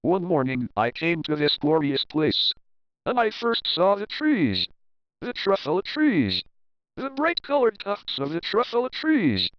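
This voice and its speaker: A man narrating a rhyming children's story as an expressive, theatrical read-aloud with deliberate phrasing. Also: sits high, no room sound, highs cut off.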